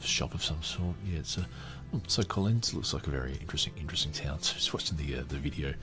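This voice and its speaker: A man with a low, deep voice reads a book aloud for his podcast and keeps stopping to pick it apart. He talks in an animated way, laughing often and stumbling through fillers and restarts. Faint music plays behind him. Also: quick pace. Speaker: podcast host. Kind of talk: reading a book aloud with commentary.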